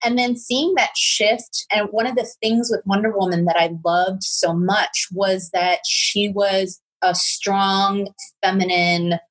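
A woman's voice: medium pitch, steady tone, takes it slowly, low-quality recording. A middle-aged woman interviewing a guest, speaking reflectively with frequent restarts. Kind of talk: conversational interview.

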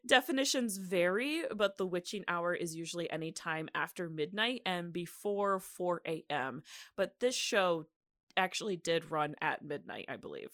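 A woman's voice: fairly low pitch, moderate pace, a bit bright, no room sound, studio quality. A woman co-hosting a podcast, telling researched history in an informal, conversational way. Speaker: podcast co-host. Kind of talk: casual podcast chat with researched history narration.

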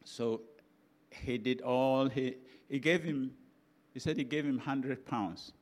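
Older Ghanaian preacher, chatty anecdotal sermon, mid-pitched voice. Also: male voice, slow pace, darkish tone. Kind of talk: sermon storytelling.

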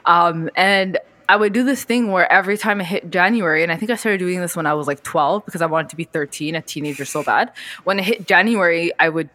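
A woman in her early twenties hosting a casual podcast chat, telling stories in an animated, slangy way. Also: fairly low pitch, fairly quick, dry recording. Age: early 20s. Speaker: podcast host.

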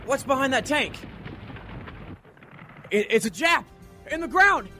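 Young American Marine, wry, higher-pitched, radio war drama with battle sounds and score. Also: male voice, animated, measured pace, pushed and bright, full character voice. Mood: urgent. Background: music, gunfire and artillery.

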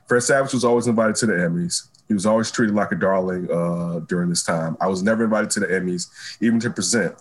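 A man hosting a casual pop culture chat, animated and laughing often, reading news aloud with lots of fillers. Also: low-pitched, husky. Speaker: talk show host.

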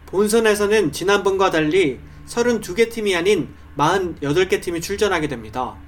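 News host guy, high voice, formal questions. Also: animated, normal speed, slight background noise.

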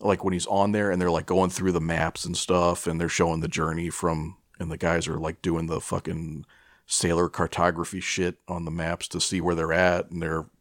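A middle-aged American man chatting casually as a podcast co-host, telling stories in a rambling, halting, profane way. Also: deep voice, flat delivery, a bit bright, no room sound, clean studio sound. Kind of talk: casual chat, rambling storytelling.

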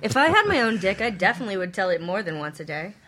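A woman's voice: medium pitch, sing-song, quick pace, sharp tone. A woman on a radio panel, trading casual, bawdy banter in a blunt, playful way.

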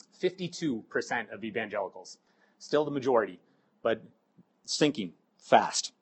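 A middle-aged man with a cold, sounding hoarse, giving a halting sermon full of ums and uhs. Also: mid-range voice, big pitch swings. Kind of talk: sermon with scripture reading.

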